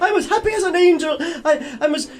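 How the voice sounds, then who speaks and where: A man playing an elderly reformed miser in an audio drama, theatrical and exclamatory, a jolly old gentleman. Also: very high voice, animated, fairly quick. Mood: giddy, joyful.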